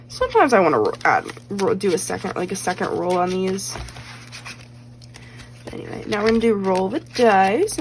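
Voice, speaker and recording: A woman chatting casually as she stuffs cash into budget envelopes. She rambles with lots of fillers, counts bills aloud and is slightly losing her voice. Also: medium pitch. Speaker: budgeting vlogger.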